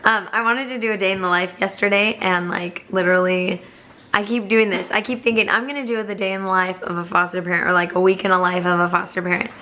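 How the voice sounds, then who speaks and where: A hoarse young woman chatting to camera as a foster parent, rambling with many fillers. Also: mid-range voice, steady tone, quick pace, sharp tone. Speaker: vlogger. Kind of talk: casual chat to camera.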